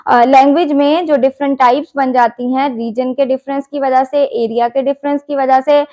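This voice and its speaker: A woman's voice: high voice, fairly even intonation, moderate pace, dark tone, highs cut off. A woman with a Pakistani Urdu accent, an English instructor explaining concepts in a recorded lecture, mixing English terms and asking rhetorical questions.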